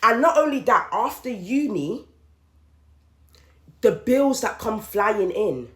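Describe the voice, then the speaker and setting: A woman's voice: on the high side, animated, slow pace. Emphatic woman venting, roomy sound. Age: late 30s. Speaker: podcast host. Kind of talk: candid solo monologue. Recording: roomy echoey room.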